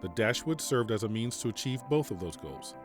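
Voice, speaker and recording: A man's voice: on the low side, music in the background, close to an everyday voice. A man playing a composed, formal mercenary general. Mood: measured. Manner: calm, formal, measured. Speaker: military general. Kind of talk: audio drama acting.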